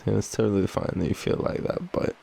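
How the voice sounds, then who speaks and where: A man's voice: lowish voice, fairly even intonation, brisk, gravelly, warm tone, slight background noise. A tired man with an Australian accent, speaking sleepily and affectionately in an intimate bedtime boyfriend roleplay. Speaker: audio roleplay performer playing a boyfriend.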